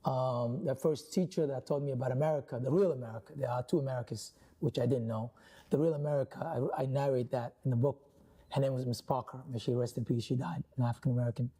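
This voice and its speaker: Man from Somalia as a guest author, earnest and halting, very dark, mellow voice. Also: medium pitch, steady tone, husky. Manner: earnest, halting, many restarts. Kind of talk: interview conversation.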